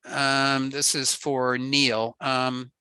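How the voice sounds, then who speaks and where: Bright-voiced man on a ham radio call. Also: medium pitch, very slow, smooth, no echo. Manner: relaxed, answers questions, fillers. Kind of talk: casual technical talk on a video call.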